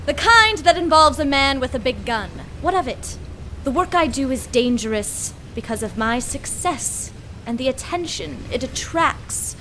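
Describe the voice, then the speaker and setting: Woman playing a haughty mad scientist woman, higher-pitched. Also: expressive, a little slow, bright, forceful tone, a little hiss or hum, full character voice, low-quality recording. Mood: haughty, irritated. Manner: haughty, verbose, theatrical. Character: arrogant woman mad scientist, condescending and grandiose. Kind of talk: comedic audio drama acting.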